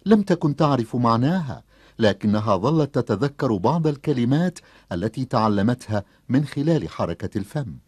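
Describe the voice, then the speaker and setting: A man playing an expressive storyteller, reading scripted narration for a cartoon. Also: medium pitch, moderate pace, slightly rough, dry recording. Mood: expressive, explanatory.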